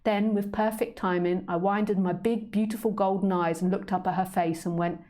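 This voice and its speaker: A woman's voice: medium pitch, steady tone, normal speed, darkish tone, lightly acted. A woman reading her storybook as a vain, proud male street cat who speaks in working-class London slang. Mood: smug.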